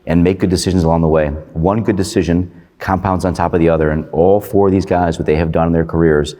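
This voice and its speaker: An American man with a smooth, radio-like voice answers audience questions casually as a guest on a live panel. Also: low voice, steady tone, fast, warm tone. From Michigan, US. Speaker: guest investor on stage. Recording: reverberant conference hall, live stage.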